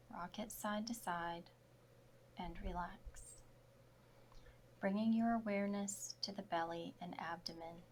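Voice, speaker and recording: A woman yoga instructor leading a guided relaxation meditation in short, soft, measured phrases. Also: on the low side, slight background noise, low-quality recording.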